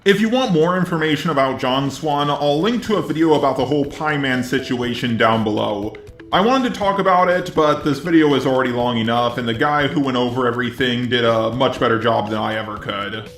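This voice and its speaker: A man's voice: medium pitch, fairly bright tone. Echoey robot-voiced male commentator. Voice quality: synthetic robot voice. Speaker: host of a commentary video. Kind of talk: scripted commentary.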